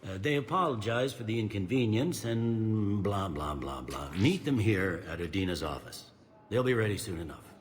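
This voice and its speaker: An actor playing a gruff, jaded police commander in a sci-fi game, blunt and sardonic. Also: male voice, fairly low pitch, expressive, normal speed, natural voice. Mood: weary, sardonic. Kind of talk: game character dialogue.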